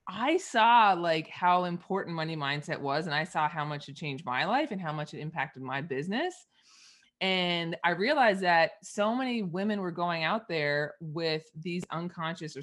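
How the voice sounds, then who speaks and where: A woman guest on a podcast, a money mindset coach, talks in a lively, chatty way. She fills her stories with "like" and laughs often. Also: on the low side. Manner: lively storyteller, laughs often, lots of likes. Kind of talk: casual interview chat.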